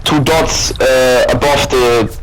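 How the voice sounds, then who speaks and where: A man's voice: mid-range voice, steady tone, takes it slowly, low-quality recording. A man with a German accent, chatting casually over game voice chat and patiently spelling out words between many fillers. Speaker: gamer teammate. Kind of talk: casual voice chat while gaming.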